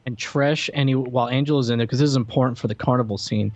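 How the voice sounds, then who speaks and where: A man's voice: mid-range voice, fairly even intonation, fast talker, darkish tone, no echo, highs cut off. A man co-hosting a casual film discussion, recounting a plot in a rambling, conversational way full of "like". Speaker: podcast co-host.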